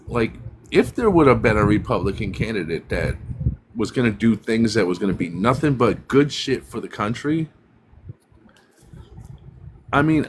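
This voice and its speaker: A man with a voice of middling pitch hosts a casual online political chat. He talks loosely and hesitantly, often restarting his sentences. A faint background noise runs under the call.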